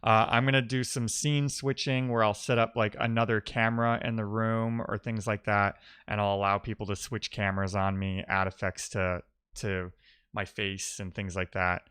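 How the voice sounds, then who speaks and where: A man with a fairly low, crisp voice talks informally as he codes on a livestream. He thinks aloud and chats to his viewers. The sound is dry and close, with little room to it. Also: flat delivery, normal speed, fairly bright tone, no room sound. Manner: informal, reading and answering chat messages aloud. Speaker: live-streaming programmer, host. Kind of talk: casual live-coding stream chat, thinking aloud.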